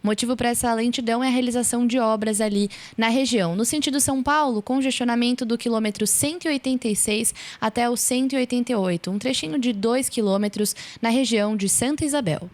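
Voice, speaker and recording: A woman's voice: fairly high pitch, fast, hardly any pauses. A woman with a Brazilian accent delivering a radio traffic bulletin as a reporter.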